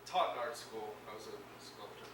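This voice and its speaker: An actor playing a bitter, sarcastic hospital patient, a paralysed sculptor, middle-aged. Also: male voice, lowish voice, normal speed, noisy background, natural voice. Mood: bitter, angry. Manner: sarcastic, biting. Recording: roomy film sound from a distance.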